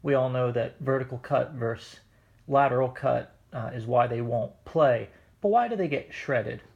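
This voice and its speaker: A man hosting a casual hobby demonstration in his living room, explaining things in a measured, hesitant way. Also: medium pitch, vocal fry, husky, dull audio. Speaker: host, record hobbyist. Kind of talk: casual demonstration of vintage records. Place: indoors, living room at home.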